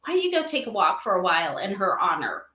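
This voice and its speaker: A middle-aged woman giving conversational interview answers as a podcast guest, animated and emphatic, often checking in with "right?". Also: medium pitch, fast, echoey room. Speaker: podcast guest, spiritual advisor for an AI company.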